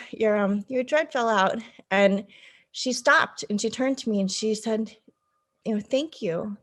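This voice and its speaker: A woman from the United States speaks as an interview guest. Her delivery is conversational and reflective, built in short, halting phrases as she works out each thought. Her pitch sits in an ordinary middle range for a woman. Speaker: interview guest, city councilwoman.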